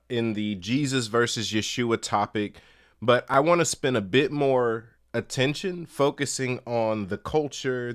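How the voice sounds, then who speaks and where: A man giving a solo scholarly talk, explaining things step by step in a measured way. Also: lowish voice, clean tone. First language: English. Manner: measured, explanatory, spells out letters. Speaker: teacher.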